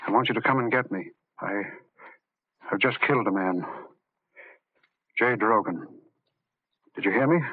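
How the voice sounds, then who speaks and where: A man's voice: lowish voice, moderate pace, long pauses, slightly rough. An actor playing a grave, authoritative male doctor in an old-time radio drama. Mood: grim confession. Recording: tinny, hissy old radio recording.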